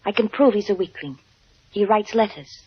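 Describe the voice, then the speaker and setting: A woman playing an alluring, devoted, scheming mistress with a breathy voice, in a 1950s radio drama. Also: medium pitch, lively intonation, moderate pace, slight background noise, lightly acted. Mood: coolly scheming. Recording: dull old radio recording.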